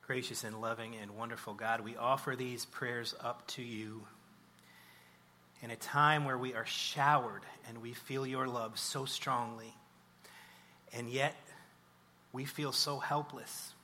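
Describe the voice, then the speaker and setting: A man's voice: medium pitch, fairly even intonation, unhurried, pushed and bright. A man leading a congregation in spoken prayer, earnest and reverent, delivering it phrase by phrase. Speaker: church prayer leader. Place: church service. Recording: live church recording with background noise.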